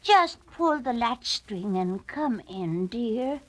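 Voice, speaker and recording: A woman plays a kindly old grandmother from a storybook. The voice is weak and frail, very slow, with words stretched out. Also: a little high, expressive. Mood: feeble, kindly. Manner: slow, drawn-out.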